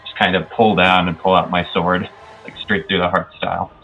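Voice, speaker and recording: A man's voice: low-pitched, level tone, moderate pace, gravelly, bright, forceful tone, lo-fi sound. A young man playing in a tabletop game, hesitantly describing his moves with many fillers. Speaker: player. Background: faint background music.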